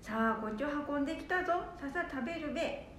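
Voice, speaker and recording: Woman as a high-voiced blunt old granny, radio play, echoey, off-mic. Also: fairly bright tone, slight background noise. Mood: brisk. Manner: brisk, blunt dialect talk. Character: bossy old grandmother. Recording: echoey room from a distance.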